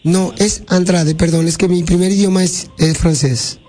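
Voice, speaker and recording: A man with a Mexican Spanish accent hosting a radio prank call, talking in a deadpan, mock-official way. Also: high voice, normal speed, soft background music. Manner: deadpan, feigning formal officialese. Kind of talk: prank call and studio banter.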